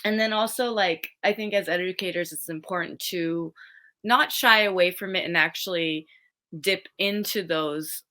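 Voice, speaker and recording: A young woman teacher speaks as a podcast guest, her voice bright and a little low. She thinks aloud as she goes, circling back and restarting phrases. Her tone stays casual and conversational throughout. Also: moderate pace. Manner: thoughtful, restarts phrases, many fillers.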